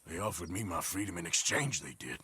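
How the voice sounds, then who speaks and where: An actor playing a wry, tough Old West outlaw with an American accent and a sardonic, folksy drawl. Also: male voice, low voice, animated, brisk, pushed and bright, slightly rough, heavily acted. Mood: uneasy. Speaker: actor in a video game cutscene. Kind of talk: cinematic western game acting.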